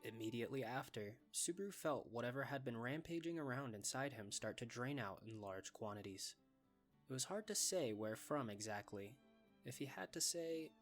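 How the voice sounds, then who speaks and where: A man narrates a scripted story in a medium-pitched voice. His lines are delivered as third-person storytelling, with light music underneath. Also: lively intonation, normal speed.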